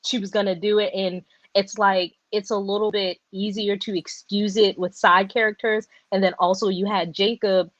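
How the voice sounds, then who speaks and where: A woman hosting a casual book discussion, talking in animated, rambling runs and correcting herself as she goes. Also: medium pitch, normal speed, few pauses, dry recording, highs cut off. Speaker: podcast host.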